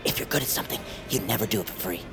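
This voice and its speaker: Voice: medium pitch, racing, creaky, over music, lightly acted. An actor playing a manic, paranoid, chatty psychiatric patient in an audio drama, rambling theatrically and slipping into whispers like a playful tour guide. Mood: sly.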